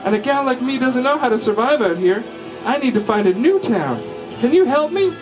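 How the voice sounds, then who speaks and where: Man putting on a high coy young lady voice, pleading, theatrical, music behind. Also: very animated, a little fast, rough recording.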